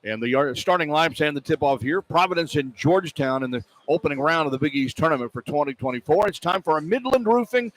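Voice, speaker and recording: Higher-pitched male sports radio host. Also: lively intonation, fast, few pauses, rough recording. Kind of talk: pre-game sports commentary and chat.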